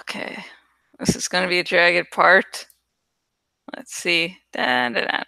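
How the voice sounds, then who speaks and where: A woman rambles casually as she works, thinking out loud with plenty of swearing. Her voice is bright and smooth, recorded close and dry. She sometimes stalls to hunt for the right word. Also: on the low side, a little slow, dry recording. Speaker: online creator and host. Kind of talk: casual rambling chat while working on a graphic.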